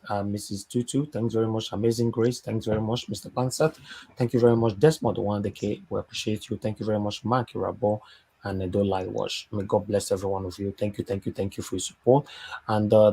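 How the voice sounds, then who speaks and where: A man with a fairly low voice hosts a live online panel. He moderates the speakers and thanks viewers by name, one after another. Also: mellow, slightly rough. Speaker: host.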